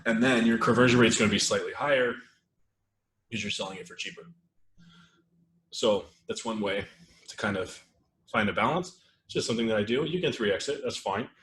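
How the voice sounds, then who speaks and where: A man hosting a live webinar in casual, rambling talk with lots of fillers, his voice a little strained and throaty as he clears his throat. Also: on the low side, fairly quick, pauses often. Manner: relaxed, rambling, many fillers and restarts. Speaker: webinar host. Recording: echoey room with faint noise.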